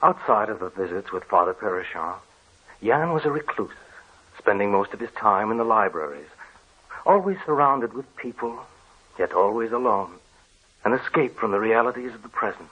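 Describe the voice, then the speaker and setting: An actor playing a grave man who recounts his family's legend in measured, solemn 1940s radio drama narration. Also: on the low side, animated, husky. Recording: dull old radio recording with faint hiss.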